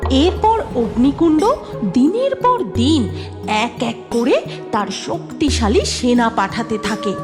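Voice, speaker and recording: A woman narrating a cartoon fairy tale in a measured storytelling way. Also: a little high.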